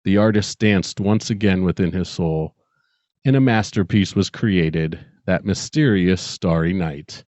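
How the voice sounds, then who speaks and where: Man, middle-aged, podcast storyteller, fairly low pitch, very dry close sound, muffled audio. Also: measured pace, a little mellow, no echo. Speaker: podcast co-host and amateur short story writer. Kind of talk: dramatic story reading and casual podcast chat.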